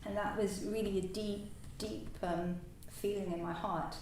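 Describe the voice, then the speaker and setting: Woman, native French speaker, halting reflective talk, distant mic in an echoey room with faint noise. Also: mid-range voice, steady tone, darkish tone. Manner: hesitant, halting, many fillers and restarts. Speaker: new Buddhist order member. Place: meditation centre hall.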